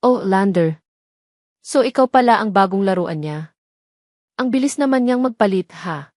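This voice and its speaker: A woman's voice: medium pitch, expressive, normal speed, a little mellow, no echo, studio quality, close to an everyday voice. A woman voicing a cruel, smug woman rival who taunts in an audio love drama. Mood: smug, threatening.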